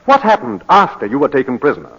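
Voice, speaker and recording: An actor playing a formal, courteous British barrister examining his client, with measured oratory in 1940s radio drama style. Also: medium pitch, big pitch swings, fairly bright tone, breathy edge. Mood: measured, probing. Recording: muffled vintage 1940s radio broadcast.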